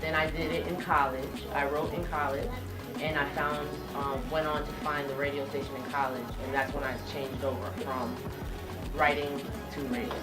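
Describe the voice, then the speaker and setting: A young woman with a deep-set voice speaks conversationally to young students, encouraging and emphatic. She sits a little away from the microphone in a room, with music clearly audible behind her. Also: little pitch movement, moderate pace. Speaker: radio host being interviewed. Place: indoors in a room. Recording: distant mic, music behind.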